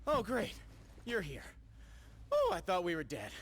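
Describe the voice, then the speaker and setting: Very animated, flippant space hero. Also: male voice, high-pitched, unhurried, slight background noise, heavily acted. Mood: flustered. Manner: casual, incredulous, quippy. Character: flippant, boyish male space adventurer hero. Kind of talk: video game cutscene acting.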